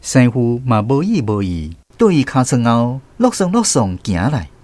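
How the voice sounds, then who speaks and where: A man's voice: mid-range voice, wildly swooping pitch, normal speed, darkish tone, no echo. A man playing a grown storyteller reflecting on his boyhood, narrating a radio drama with a Taiwanese accent. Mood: reflective.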